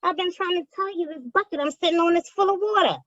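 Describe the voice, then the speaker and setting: A woman's voice: extremely high pitch, quick pace, fairly bright tone, no room sound, muffled recording. A woman playing a streetwise, pushy small-time informant in old-time radio gangster style, slangy, indignant and grumbling. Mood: grumbling reluctance.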